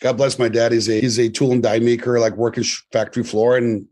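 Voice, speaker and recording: A man's voice: medium pitch, level tone, fairly quick, darkish tone. A man in his forties, originally from Poland and raised in Germany, talking as a guest in a loose, conversational way with frequent restarts. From born in Poland, grew up in Germany. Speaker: guest, tech company CEO. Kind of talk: podcast interview.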